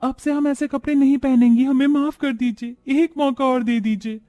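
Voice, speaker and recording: A man acts a brazen young modern daughter-in-law in a family story. The voice is very high and theatrical, full of vanity. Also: steady tone, normal speed, dark tone, no room sound. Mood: apologetic. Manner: defiant, theatrical.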